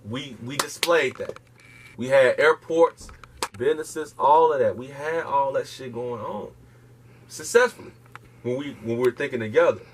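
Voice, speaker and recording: A man's voice: medium pitch, moderate pace, a little hiss or hum. A man speaking, lecturing emphatically and profanely as an interview guest, firing off rhetorical questions. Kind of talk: impassioned casual interview talk.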